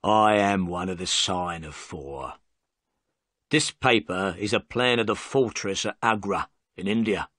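A man voicing a sullen, weary middle-aged prisoner who speaks flatly and without care. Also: low voice, measured pace, dry recording, studio quality, pitched below the performer's own voice. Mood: bitter.

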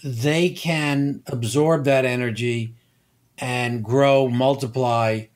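An older man answering interview questions as a scientist, explaining in a measured, halting way with frequent pauses. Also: medium pitch, steady tone. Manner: measured, halting, many fillers. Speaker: guest scientist.

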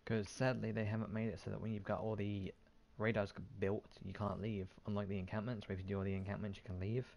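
A man's voice: lowish voice, little pitch movement, fast, few pauses, darkish tone. A man giving casual, sweary commentary on his gameplay, often muttering to himself over video game sounds. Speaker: gaming video host. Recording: clear background game noise.

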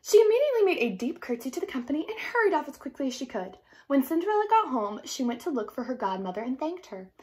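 A young woman reads a children's fairy tale aloud. Her voice sits a little high and swings up and down in an animated way. The delivery is theatrical and expressive. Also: quick pace. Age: young adult. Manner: theatrical, explanatory asides. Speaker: storybook reader. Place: small indoor room at home.

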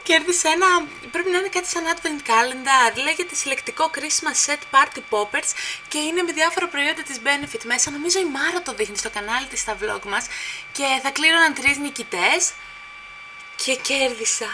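A woman's voice: high voice, slight background noise. Chatty young woman vlogging at home. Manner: chatty and excitable, quick asides to viewers. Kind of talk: casual vlog chat to camera. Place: family home indoors. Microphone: handheld camera at arm's length.

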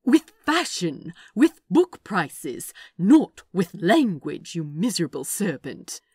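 An indignant, fond male angel from fan fiction performed by a woman. He speaks slowly and prissily, with precise emphasis and swooping expression, in a dry close recording. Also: lowish voice, very animated, darkish tone, slightly rough, dry recording, full character voice. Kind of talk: fan fiction dialogue reading.

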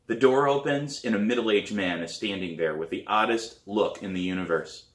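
Man, storybook narrator, lowish pitch, dramatic and expressive, room echo. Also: moderate pace. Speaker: author reading own novel aloud. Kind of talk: storybook read-aloud, first-person narration. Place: small living room, indoors. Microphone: camera a few feet away. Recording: roomy, echoey living room.

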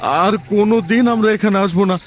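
A man's voice: high voice, brisk, a little hiss or hum, close to an everyday voice. A man voicing a timid young grave robber in a cartoon, stammering and fearful. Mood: pleading.

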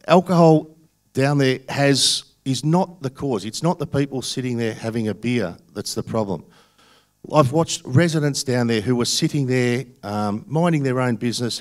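A middle-aged man with an Australian accent speaks at a candidates' forum in a theatre, holding a microphone close. He talks in an earnest, insistent way and repeats phrases to hammer his points. His pitch sits at an ordinary middle level. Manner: earnest, repetitive, stressing points. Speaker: election candidate. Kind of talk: forum answers to audience. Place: theatre stage panel. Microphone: handheld mic.

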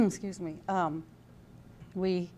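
A woman's voice: lowish voice, fairly even intonation, slow, dark tone. A woman in her sixties from California with an American accent, reminiscing casually and hesitantly in a studio interview. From California, United States. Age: 60s. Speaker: interview guest. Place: TV studio, indoors at a desk. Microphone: lapel mic.

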